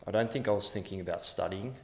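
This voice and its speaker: A man's voice: deep voice, quick pace. A man in his forties with an Australian accent, a guest telling his story in a candid, slangy, laid-back way. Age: 40s. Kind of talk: casual interview chat. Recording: echoey room with long reverb.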